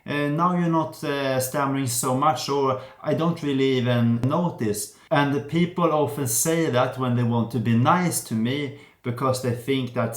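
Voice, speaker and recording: A young man from Sweden, speaking calmly and thoughtfully about himself, stammering with repeated sounds and restarts. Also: mid-range voice. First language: Swedish. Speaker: vlogger sharing his stutter. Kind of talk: personal reflective talk to camera. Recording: roomy echo.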